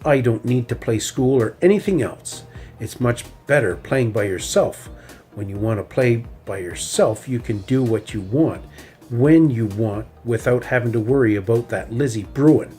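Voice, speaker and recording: A man voicing a stubborn, fiery young female bear cub who shouts when crossed. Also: mid-range voice, lively intonation, moderate pace, soft background music, natural voice. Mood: angry. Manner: shouty, indignant.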